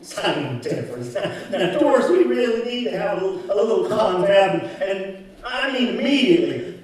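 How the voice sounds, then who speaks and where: Flamboyant, high-voiced, pompous theater director. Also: male voice, big pitch swings. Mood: dismissive. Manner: flamboyant, grandiose, drawn-out words. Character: pompous, theatrical, dismissive male stage director. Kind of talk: old time radio comedy acting. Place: community center stage, live show. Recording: echoey hall, distant stage mic.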